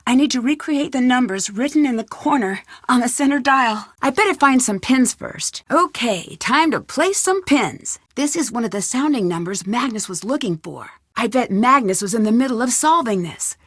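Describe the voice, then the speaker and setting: Plucky young girl detective for a video game, highish voice, musing to herself, super dry recording. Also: expressive, normal speed, hardly any pauses, no echo. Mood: curious, focused. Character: curious, plucky young woman amateur detective. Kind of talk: video game voice acting, thinking aloud.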